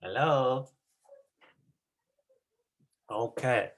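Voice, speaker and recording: Very deep-voiced, slow-paced woman teacher. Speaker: teacher hosting an online class. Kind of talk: casual chat organizing a video call. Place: video call.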